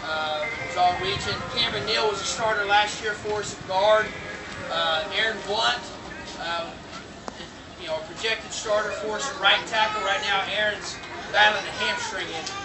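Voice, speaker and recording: A man with a fairly high voice casually introducing his team, upbeat and full of fillers. He is heard from a distance in an echoey space. Loud music plays behind him. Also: fairly even intonation, normal speed. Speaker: football coach introducing players. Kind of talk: informal team introductions to a crowd. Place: outdoor sports event.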